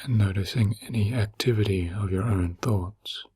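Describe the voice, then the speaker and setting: A man narrating a guided meditation in a gentle, soothing, slow manner with long pauses and a breathy, husky voice. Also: on the low side.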